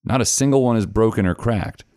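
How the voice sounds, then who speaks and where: A man, the show's host, reading a scary story aloud in a measured, grave storytelling manner. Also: lowish voice, darkish tone, no echo, studio quality. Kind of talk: scripted narration of a horror story.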